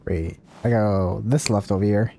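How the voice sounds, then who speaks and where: A man's voice: fairly low pitch, steady tone, a little slow, creaky, mellow, slight background noise. A man live streaming a video game, chatting casually and rambling as he thinks aloud. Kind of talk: casual gameplay livestream chat, reading game text aloud. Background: game music.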